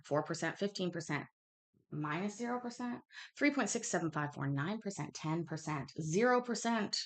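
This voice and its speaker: A woman in her thirties hosting a casual livestream quiz, reading questions aloud and chatting candidly, swearing and interrupting herself. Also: fairly low pitch. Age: 30s. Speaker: host of a live online trivia chat.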